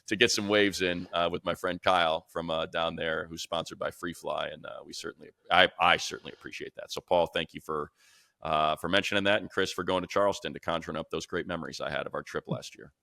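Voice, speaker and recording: A man's voice: deep voice, fairly even intonation, fast, gravelly, bright, forceful tone, no room sound, studio quality. A man co-hosting a sports podcast as an announcer, talking casually and informally with rambling asides. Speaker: sports radio announcer and co-host.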